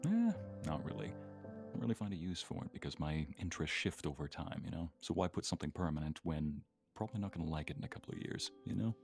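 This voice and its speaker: A man's voice: low voice, big pitch swings, fast, gravelly, a little mellow, faint music behind. A man chatting casually as a guest on a livestream, reading and answering questions from viewers. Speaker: guest ASMR narrator and streamer.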